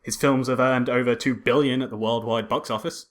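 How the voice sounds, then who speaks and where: A man's voice: mid-range voice, fairly even intonation, brisk. A man co-hosting a casual film podcast, joking and rambling as he goes with some hesitation. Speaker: podcast co-host.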